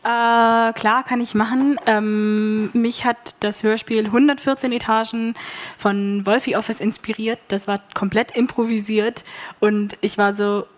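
A woman speaks slowly and hesitantly about what inspired her radio play. Her delivery is plain and unhurried. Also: a little high, fairly even intonation. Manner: slow, hesitant. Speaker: workshop participant, author.

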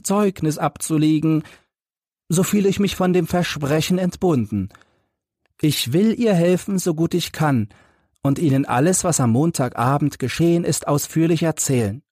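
Man voicing a shy, earnest young lady, raised pitch. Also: warm tone, no room sound, clean studio sound. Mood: earnest, resolute. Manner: careful, formal, earnest storytelling. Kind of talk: audiobook character narration.

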